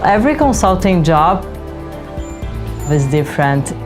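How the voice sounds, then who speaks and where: A middle-aged woman from Brazil speaks as a project manager in a promotional interview. Her voice sits low, and background music runs clearly under her words. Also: sing-song, unhurried. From Brazil, later Germany. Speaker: senior consultant, project manager. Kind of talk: interview soundbites in a promotional film. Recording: music behind the voice.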